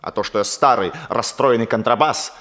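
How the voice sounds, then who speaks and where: An actor playing a young, self-mocking student musician in a theatrical, expressive 1960s radio comedy style. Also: male voice, mid-range voice, normal speed, lightly acted. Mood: indignant, self-mocking.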